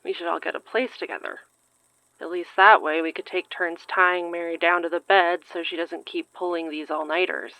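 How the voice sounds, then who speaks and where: An actress playing a worried, sarcastic, sharp-tongued young woman in an audio drama, swearing in exasperation. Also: on the low side, steady tone, moderate pace, no echo, rough recording. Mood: weary, wry.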